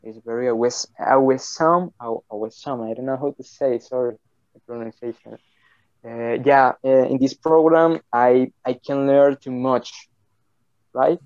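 A slow, hesitant man from Colombia speaks English as a second language, restarting his sentences and apologizing for his words. His voice is smooth and clear. The sound is dry and a little dull. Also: medium pitch, fairly even intonation, a little mellow, dry recording. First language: Spanish. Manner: halting, many restarts and apologies. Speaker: English student. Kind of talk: interview.

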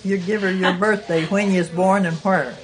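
A woman's voice: on the low side, fairly even intonation, normal speed, poor audio. A woman in her eighties from rural America, reminiscing as an interviewee in a group. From rural United States. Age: 80s.

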